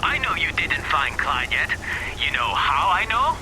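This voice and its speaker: A man's voice: fairly high pitch, sing-song, measured pace, very noisy. An actor playing a volatile, menacing male crime boss in a video game, taunting and swaggering as he gives foul-mouthed orders. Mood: mocking menace. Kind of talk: video game cutscene voice acting.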